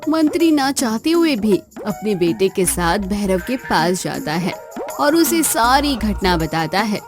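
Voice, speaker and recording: A woman's voice: medium pitch, very animated, unhurried, over music. A woman narrating a scripted cartoon story.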